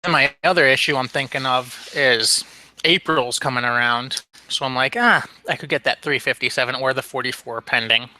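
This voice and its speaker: A man's voice: mid-range voice, normal speed, bright, forceful tone, poor audio. A man chatting casually as a podcast co-host, rambling along with frequent ums and restarts. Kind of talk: casual chat about firearms.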